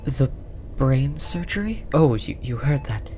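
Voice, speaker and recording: A performer voicing a gentle, apologetic alien researcher, speaking softly and hesitantly in an earnest, reassuring roleplay style. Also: medium pitch, expressive, unhurried, lightly acted. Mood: flustered, apologetic. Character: tall, apologetic, gentle alien researcher soothing an abducted captive. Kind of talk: roleplay audio drama, soft comforting delivery. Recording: audible background noise, monitor beeping.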